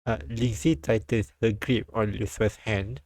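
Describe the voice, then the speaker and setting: A man streaming a live read-aloud of a visual novel, halting and repeating lines as he goes. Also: on the low side, fairly even intonation, a little mellow, no room sound, clean studio sound.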